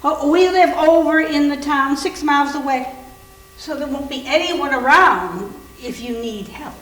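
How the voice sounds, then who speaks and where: Lady doing a cold creepy housekeeper lady, stiff and ominous, higher voice, echoey hall w/ hum. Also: measured pace, a bit bright. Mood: ominous warning. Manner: stiff, formulaic, repetitive. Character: cold, rigid, ominous housekeeper woman reciting warnings. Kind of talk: staged readers theater. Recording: roomy, echoing hall with hum.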